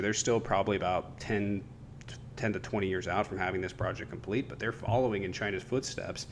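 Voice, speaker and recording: A man co-hosting a livestream debate panel, talking casually and politically over an online call. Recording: faint low rumbling background noise.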